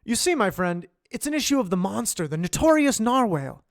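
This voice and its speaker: Guy doing an excited loud scientist, high and pushy, gravelly, dry close mic. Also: fairly quick, no room sound. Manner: urgent, animated, rising pitch.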